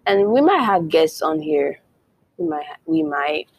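A young woman from Nigeria talks through her own podcast in an easy, chatty way. She drops in playful whispered asides. Her voice sits a little low and comes out slow and husky. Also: very animated, a little mellow, no room sound. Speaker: podcast host. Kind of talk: casual solo podcast chat.

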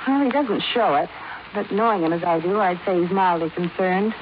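Through muffled, lightly hissing old radio audio comes a woman acting a well-to-do wife in her thirties. She is poised and formal, speaking in measured fifties radio-drama style. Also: on the low side, natural voice. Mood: coolly resigned. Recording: muffled, hissy 1950s radio recording.

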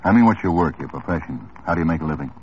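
An actor playing a hard-nosed police detective in an old radio drama, interrogating with quick, probing questions. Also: male voice, very deep voice, animated, a little hiss or hum. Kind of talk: 1950s radio drama acting. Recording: muffled old radio broadcast.

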